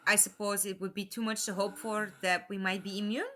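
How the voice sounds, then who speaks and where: A woman's voice: medium pitch, level tone, brisk, sharp tone, slight background noise, lightly acted. A woman playing an earnest time traveller's companion in an audio drama, probing with concern and challenge. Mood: challenging.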